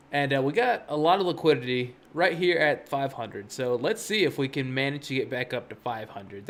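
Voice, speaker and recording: A young man hosts a day trading live stream, talking casually into a desk mic. He rambles and drifts into asides, leaning on fillers as he goes. His voice sits in an ordinary middle pitch. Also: fairly bright tone. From Miami, USA. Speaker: day trading livestream host.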